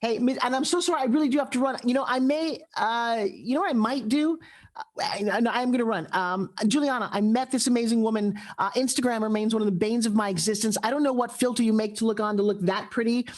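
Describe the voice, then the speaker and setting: A woman's voice: fairly high pitch, fast. A woman hosting a live audio chat room, speaking effusively and gushing over her guests. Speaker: host.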